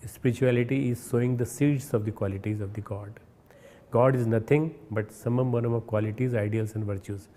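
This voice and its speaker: A man with an Indian accent giving a recorded spiritual message, earnest and instructive, often pausing and restarting words. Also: mid-range voice, steady tone, warm tone. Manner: hesitant, repeats and restarts words. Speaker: spiritual leader.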